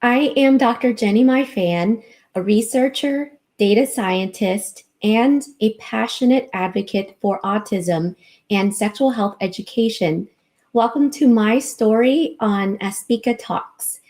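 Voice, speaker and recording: A woman in her forties, a researcher and advocate, giving a measured, scripted personal talk. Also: fairly high pitch, a little mellow. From United States. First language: Vietnamese.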